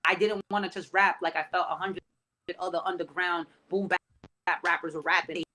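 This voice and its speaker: A man's voice: high-pitched, fairly even intonation, brisk, background noise. A man, a rapper guest on a podcast, talking casually in loose street slang.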